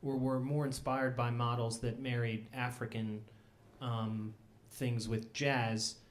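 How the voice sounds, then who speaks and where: A man's voice: medium pitch, flat delivery, slow pace. Thoughtful male interviewer. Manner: thoughtful, long-winded questions. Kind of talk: interview questions.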